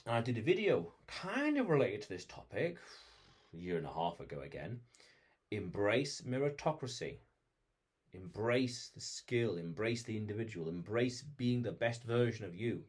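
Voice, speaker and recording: A man's voice: fairly low pitch, sing-song, normal speed, breathy edge. A man vlogging alone, thinking aloud about identity, with frequent restarts and repeated phrases. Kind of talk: reflective solo monologue.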